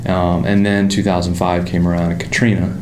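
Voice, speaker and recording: Deep-voiced man with a Pittsburgh accent. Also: fairly quick, roomy sound, background noise. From Pittsburgh area, United States. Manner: relaxed storytelling with many fillers and restarts. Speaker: motorcycle designer, guest. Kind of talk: casual interview conversation.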